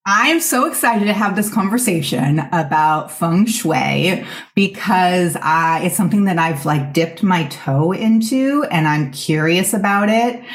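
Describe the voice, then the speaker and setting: A woman's voice: lowish voice, animated, unhurried. A woman hosting a podcast, reading an intro and then interviewing a guest in an earnest, encouraging way. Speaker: podcast host.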